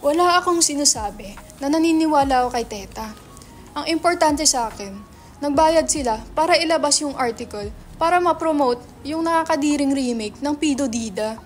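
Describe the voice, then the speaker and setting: A woman's voice: high voice, expressive, normal speed, faint music behind. A woman with a Filipino accent acting a brash, sarcastic, money-minded young entrepreneur in a dramatic reading, mixing English into Tagalog. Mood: incredulous. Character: brash, money-minded male website entrepreneur, teasing his friend. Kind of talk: dramatic reading of a stage script.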